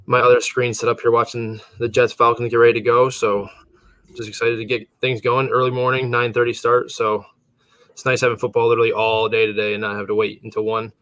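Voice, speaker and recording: A man's voice: mid-range voice, little pitch movement, brisk, fairly bright tone. A man co-hosting a casual sports podcast from his home computer setup, a little tired, rambling loosely with chuckles. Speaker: podcast co-host.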